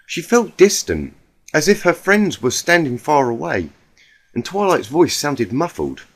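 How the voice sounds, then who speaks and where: A man's voice: fairly high pitch, big pitch swings, unhurried, slightly rough. A man reading a scripted story aloud as a narrator, in a dramatic storytelling style. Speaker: fan fiction reader.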